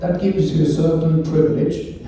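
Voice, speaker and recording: A ship's captain, a man from Sweden with a Swedish accent, giving a slow, joking speech at a ceremony on a cruise ship. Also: a little high, level tone, mellow. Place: event room on a cruise ship. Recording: echoey room, distant mic, faint noise.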